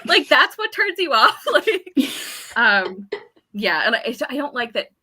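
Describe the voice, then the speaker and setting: A woman talks through a book in a relaxed live-stream chat, her voice bright and forward. She keeps a chatty, easygoing flow, full of little fillers and quick laughs, and sounds like she is on a video call. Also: high voice, breathy edge. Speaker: book club co-host. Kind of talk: casual book discussion.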